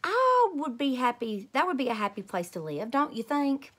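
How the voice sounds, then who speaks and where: Woman voicing an excitable, stubborn little girl. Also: sits high, moderate pace, breathy edge, pitched above the performer's own voice, exaggerated voice. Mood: bright, pleased. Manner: childish grammar, emphatic. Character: stubborn, excitable little girl narrating her own story.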